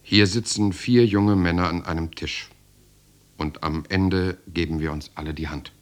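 An actor playing a German writer who recounts his years in the resistance in a measured, reflective way. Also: deep voice, steady tone. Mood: sober, reflective. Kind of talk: first-person radio drama narration.

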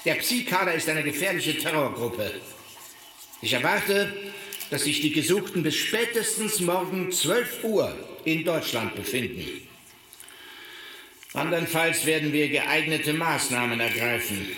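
An actor playing a stern German chancellor in a radio drama, delivering an emphatic official statement. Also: male voice, on the high side, big pitch swings, measured pace, echoey room, a crowd in the background.

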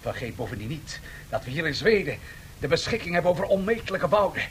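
An actor playing a confident, ambitious industrialist in a radio drama, speaking in a measured, persuasive and formal way. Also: male voice, big pitch swings, fairly bright tone, husky, full character voice, rough recording. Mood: expansive, ambitious. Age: 30s. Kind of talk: radio play acting. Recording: faint noise and rumble, 1970s radio.

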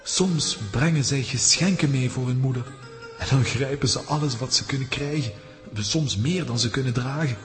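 An actor playing a gravely wise head lama of a mountain monastery, telling things in a solemn, formal, measured way for a children's audio drama. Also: male voice, medium pitch, lightly acted. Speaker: character telling a mountain legend. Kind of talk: Dutch-language children's audio drama acting. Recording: long reverberant hall with music behind.

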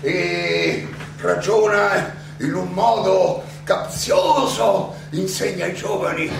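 In a very echoey, distant space an old philosopher on trial, his voice high and gravelly. He is defiant and sardonic, arguing in rhetorical questions with theatrical pauses, as in a stage play. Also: background noise, full character voice. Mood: sardonic, indignant. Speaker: stage actor. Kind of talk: theatrical courtroom monologue.

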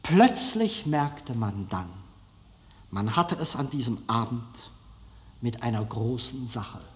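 A man giving a public lecture in emphatic, deliberate phrases with rhetorical repetition, recorded in a lecture hall. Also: medium pitch, animated. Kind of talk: public speech, declamatory lecture.

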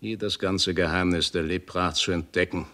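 An actor playing a friendly, skeptical old doctor who explains things in a scholarly, deliberate way in a 1960s German radio drama. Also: male voice, sits low, steady tone, bright, forceful tone. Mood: thoughtful skepticism. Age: older. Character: friendly old doctor, skeptical, learned leper-clinic director.

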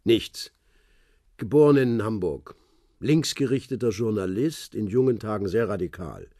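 Older man, old spy contact, lively pitch, mellow husky tone, dry. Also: no echo. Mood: calm, knowing. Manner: measured, knowing, fatherly. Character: old man, seasoned former spy contact, calm and wise. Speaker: informant on the phone. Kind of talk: radio drama acting.

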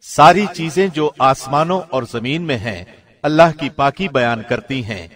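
A man reading a scripture translation like a radio presenter, formal, solemn and measured. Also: medium pitch, expressive, a bit bright.

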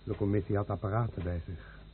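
A man's voice: on the low side, steady tone, fast talker, close to an everyday voice. An actor playing a grim, resigned outcast fisherman giving plain testimony in a solemn radio play. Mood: grave testimony. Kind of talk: solemn radio drama chorus acting. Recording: muffled noisy old radio play recording.

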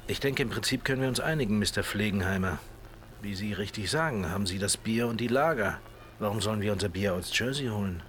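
An actor playing a charming, quietly menacing gangster boss in his thirties in a German radio play. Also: fairly low pitch, fast talker, a little hiss or hum, natural voice. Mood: smooth, evasive.

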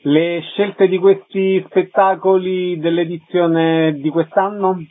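A man's voice: sits high, little pitch movement, slow pace, no echo, narrow, tinny audio. A man hosting a radio arts programme, putting short questions and reading introductions in a presenter's style. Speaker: radio host, interviewer.

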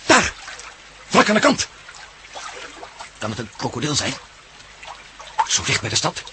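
An actor in a radio play as a suspicious, sceptical adventurer on the run. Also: male voice, mid-range voice, huge pitch swings, measured pace. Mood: tense, alarmed. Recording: noisy radio drama recording.

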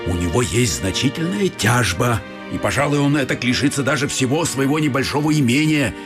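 A man's voice: mid-range voice, expressive, brisk, over music, natural voice. An actor playing an older, folksy, grumbling godfather in a period comedy radio play, full of sighs, hums and familiar asides. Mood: cautious. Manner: folksy, keeps saying "brother", sighs and hums. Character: older godfather, folksy, grumbling, unhurried old-fashioned gentleman.

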